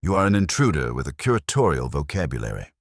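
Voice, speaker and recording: Man playing a very deep, imperious detective. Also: very animated, no room sound, clean studio sound, heavily acted. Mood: stern, unruffled. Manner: formal, measured, clipped pronouncements. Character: imperious, unhurried, stern older male detective.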